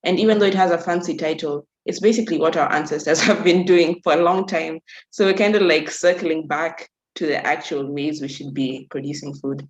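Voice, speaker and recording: A woman's voice: low-pitched, fairly even intonation, a little fast, dull audio. A woman from Kenya, a youth workshop facilitator, speaking on a panel discussion, laughing often and restarting her sentences.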